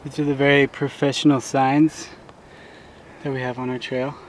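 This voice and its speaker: Brief: young male hiker with wry humor, average pitch, noisy camera sound. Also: flat delivery, moderate pace. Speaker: co-host. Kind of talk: casual hiking vlog chat. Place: outdoors on a steep mountain trail. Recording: noisy outdoor camera audio.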